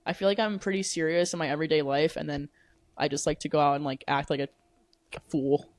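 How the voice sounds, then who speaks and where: A young woman with a deep voice for a woman, chatting casually and filling her talk with "like" and casual swearing. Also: fairly even intonation, fast, darkish tone, dry recording. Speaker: podcast guest. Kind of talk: casual chat. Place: apartment room.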